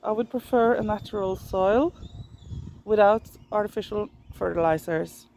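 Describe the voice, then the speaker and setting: A woman's voice: mid-range voice, normal speed, creaky, darkish tone, a little hiss or hum. A woman from Norway with a Norwegian accent, talking unscripted in a vlog in her second language, hesitant and searching for words. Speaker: vlogger. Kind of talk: unscripted explanatory vlog talk.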